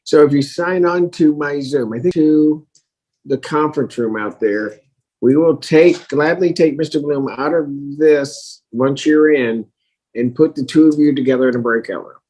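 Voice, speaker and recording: A man's voice: a little high, moderate pace, darkish tone. A man presiding as a judge, talking informally and plainly from the bench in a courtroom.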